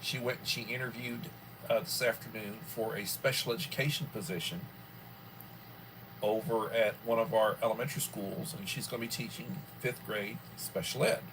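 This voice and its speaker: A man in his early sixties hosting a casual livestream from home, rambling and filling pauses often. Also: medium pitch, steady tone, noisy background. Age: 60s. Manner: rambling, with many fillers. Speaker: livestream host.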